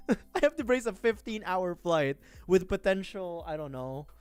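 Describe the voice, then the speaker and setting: A man's voice: high-pitched, lively intonation, a little slow, darkish tone, clean tone, no echo, soft background music. A young man from the Philippines, chatting casually and rambling on a livestream, laughing often. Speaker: vtuber streamer. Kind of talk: casual chat while building a model kit.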